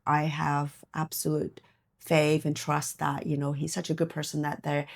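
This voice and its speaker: A middle-aged woman with a slightly low voice talks casually as an interview guest. She reflects as she goes, circling back over her phrases and punctuating them with small checks like "right?". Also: flat delivery, moderate pace, vocal fry. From Canada, born in Hong Kong. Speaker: podcast guest. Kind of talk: casual personal interview chat.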